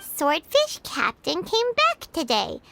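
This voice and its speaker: Tiny squeaky excitable fairy sidekick. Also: female voice, slow pace, gravelly, music in the background, heavily acted. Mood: curious, chirpy. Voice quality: squeaky, childlike. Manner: exclamatory, speaks of herself in third person. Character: small excitable childlike female fairy companion, eager and naive. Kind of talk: anime-style video game voice acting.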